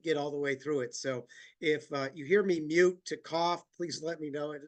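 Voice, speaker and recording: A man presenting a marketing webinar while fighting a cold, sounding nasal and talking haltingly with many restarts. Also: fairly high pitch, steady tone. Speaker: company president giving a presentation.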